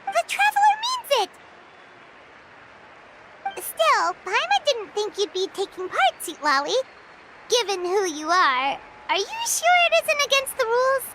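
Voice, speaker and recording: A woman's voice: extremely high pitch, big pitch swings, unhurried, pauses often, heavy background noise, heavily acted. A woman voicing a small, cheerful, childlike fairy companion in a video game, perky and eager. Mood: eager, encouraging. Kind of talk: animated video game voice acting. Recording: game music behind the voice.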